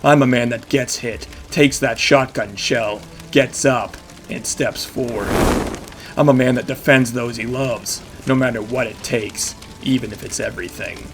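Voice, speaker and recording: A man's voice: mid-range voice, measured pace, lightly acted. An actor playing a battered vigilante who narrates his own story in intense, clipped phrases, in the style of an audio drama. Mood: grim resolve. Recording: music behind the voice. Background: dramatic music.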